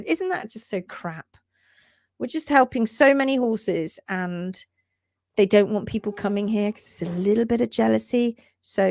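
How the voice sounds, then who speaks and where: A woman hosting a live stream on hoof care, talking quickly and repeating herself, laughing often as she reads out viewer posts. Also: medium pitch, no room sound, clean studio sound. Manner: rapid-fire, repeats words, chatty. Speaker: livestream host, hoof care teacher. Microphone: livestream setup.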